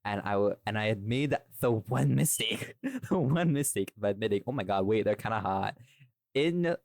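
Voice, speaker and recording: A man's voice: fairly low pitch, expressive, normal speed, vocal fry, darkish tone, no echo, clean studio sound. A man chatting casually in a rambling way, often interrupting himself and repeating phrases. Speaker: podcast guest, voice actor. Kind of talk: casual chat.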